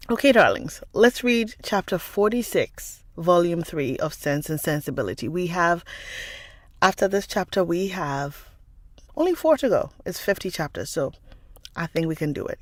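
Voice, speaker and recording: A woman with a stuffy, nasal voice from a cold, chatting warmly with livestream viewers and calling them darling, then reading a novel aloud. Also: lowish voice, no room sound. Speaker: livestream book reader.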